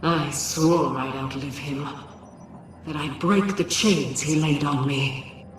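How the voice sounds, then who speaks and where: A man's voice: fairly high pitch, slow pace, slight background noise. An actor playing a troubled, duty-bound male artificial intelligence of a space station in a video game, speaking in formal, clipped announcements with synthetic intercom processing. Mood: wary, bitter. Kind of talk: video game character acting. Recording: very echoey, distant, music underneath.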